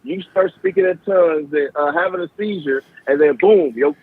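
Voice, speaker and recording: A man in his thirties with a high, husky voice. He jokes and teases loosely, swearing freely. The sound is dull and lo-fi. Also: moderate pace. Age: 30s. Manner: joking, teasing, swears freely. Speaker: podcast host. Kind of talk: casual comedic banter.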